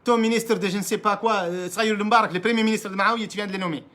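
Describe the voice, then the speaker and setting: A man with a fairly high voice speaks quickly and insistently. His words tumble out with frequent restarts and repeated phrases as he challenges leaders directly, in an impassioned political monologue. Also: fairly even intonation, sharp tone. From Mauritania. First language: Arabic. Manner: emphatic, repetitive, restarts often, addresses leaders directly. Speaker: political commentator.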